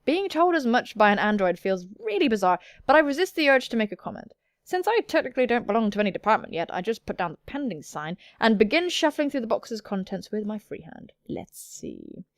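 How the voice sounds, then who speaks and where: High-pitched, very animated woman gamer. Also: fast talker, no echo, studio quality. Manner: expressive, theatrical, jokey swearing. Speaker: gaming video host. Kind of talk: playful let's-play commentary, reading a visual novel aloud.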